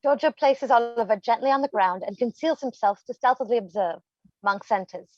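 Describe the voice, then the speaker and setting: A woman's voice: a little high, brisk, no room sound. Dry, slightly dull woman narrator. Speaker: narrator reading stage directions. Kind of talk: scripted narration.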